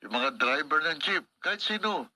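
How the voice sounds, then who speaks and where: A man's voice: a little high, animated, normal speed, bright, forceful tone, exaggerated voice. An actor playing a cynical, persuasive crooked policeman in a Filipino radio drama, with noisy broadcast sound. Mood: goading. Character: corrupt, worldly male police buddy urging bribe-taking.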